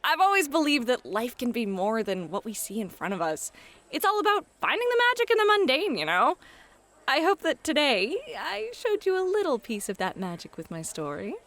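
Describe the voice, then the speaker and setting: A woman playing a playful, flirty club hostess and game master in an ASMR roleplay, bubbly, teasing and expressive. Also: high voice, moderate pace, heavily acted. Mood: warm, flirty. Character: playful, flirty club hostess running tabletop adventure games. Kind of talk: scripted ASMR roleplay, acted. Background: background music.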